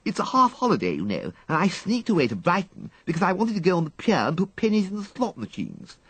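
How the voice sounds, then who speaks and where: A man's voice: high voice, wildly swooping pitch, fast talker, a bit bright, breathy edge, no echo, voice pushed up, exaggerated voice. A man voicing a twelve-year-old English schoolgirl in a storybook, cheeky and gushing in her chatter. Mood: resigned worry.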